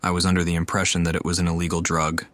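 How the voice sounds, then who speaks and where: A man giving offhand, musing gameplay commentary in a deadpan manner. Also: sits low, rapid-fire. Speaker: game streamer.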